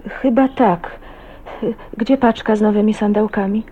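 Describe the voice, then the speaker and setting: An actress playing a shy, troubled young wife in an emotional radio drama with intimate inner monologue. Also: female voice, mid-range voice, fairly even intonation, a little slow, dark tone, close to an everyday voice. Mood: polite, anxious. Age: young adult. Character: shy, troubled young wife of twenty-nine, brooding on her fate. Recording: muffled, noisy 1980s radio recording.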